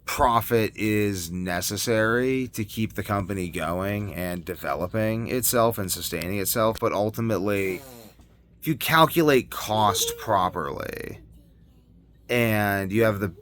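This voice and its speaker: A man talking casually as a video blogger in a rambling monologue, halting and often trailing off mid-thought. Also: fairly low pitch, a bit bright. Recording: noticeable background noise.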